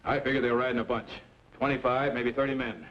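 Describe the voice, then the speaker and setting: An actor playing a commanding leader of armed riders in a western radio drama, giving clipped, authoritative orders. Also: male voice, medium pitch, flat delivery, a little fast, close to an everyday voice. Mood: firm, commanding. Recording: muffled, noisy old radio drama recording.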